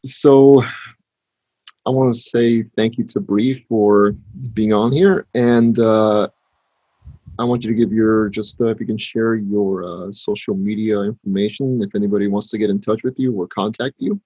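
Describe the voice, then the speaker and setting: A man's voice: lowish voice, steady tone, normal speed, warm tone, no echo. A man hosting an interview, asking questions in a casual, conversational way, on a slightly dull, lo-fi recording. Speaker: interviewer, host.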